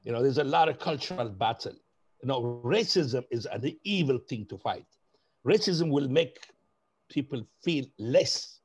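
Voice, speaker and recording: A man's voice: mid-range voice, lively intonation, unhurried. A middle-aged man from Ethiopia speaking passionately and off the cuff as an interview guest, repeating phrases for emphasis. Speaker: interview guest, diaspora advocate. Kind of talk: impassioned unscripted interview talk.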